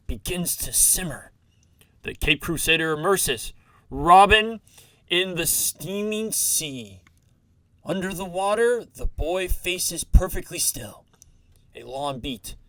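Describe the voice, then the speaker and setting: A man narrating a campy retro serial in a theatrical, deliberate, scripted style. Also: fairly high pitch, a bit bright, dry recording.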